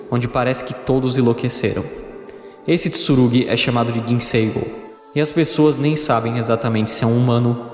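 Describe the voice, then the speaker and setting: A man with a Brazilian accent giving scripted narration for a video essay. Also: mid-range voice.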